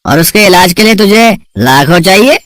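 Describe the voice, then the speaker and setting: A man's voice: high voice, animated, moderate pace, a bit bright, no room sound. A man puts on a middle-aged con man from a moral tale, a boozy gambler type. He is sly and coaxing, insinuating with every phrase, recorded dry and close. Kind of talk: Hindi moral story voice acting.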